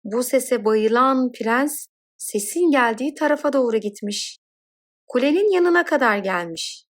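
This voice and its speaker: A woman narrating a scripted children's fairy tale in an expressive, dramatic storytelling manner. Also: fairly high pitch, unhurried.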